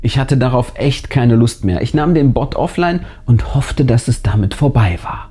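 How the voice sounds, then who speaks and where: A man's voice: mid-range voice, animated, moderate pace, mellow. A man reading a scripted first-person horror story aloud in a dramatic, suspenseful way. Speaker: narrator and channel host. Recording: music bed behind the voice. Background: background music.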